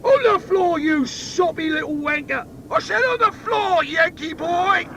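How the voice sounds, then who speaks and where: A man's voice: very high voice, animated, unhurried, noisy background, voice pushed up, heavily acted. A man voicing a brusque royal security sergeant speaking over an earpiece radio in a comedy audio story. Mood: aggressive shouting.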